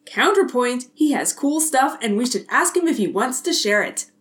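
Lady as a excitable jokey guy detective, high bouncy voice, playful. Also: normal speed. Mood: playful, defiant. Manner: playful, enthusiastic. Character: boyish, excitable, wisecracking male police detective.

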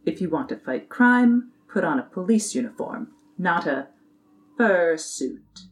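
A woman playing a stern male police captain in a formal, deliberate, deadpan way. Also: lowish voice.

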